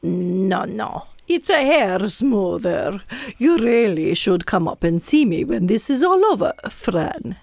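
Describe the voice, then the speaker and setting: A woman plays an officious female specialist in a comic audio drama. She speaks slowly and insistently, with a creaky edge and lively swings of pitch. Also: dry recording, heavily acted. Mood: breezy, bossy. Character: self-assured, officious female time-paradox specialist and hairdresser.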